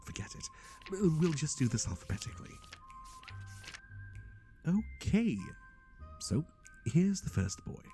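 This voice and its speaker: A man acts out a flippant male matchmaking boss in an ASMR roleplay, with a low, soft-edged, mellow voice. He rambles distractedly and mutters asides as if the danger were routine paperwork. Music plays behind him. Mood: pondering, muttering, then distracted, rambling. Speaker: voice actor. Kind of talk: ASMR roleplay, scripted monologue to the listener.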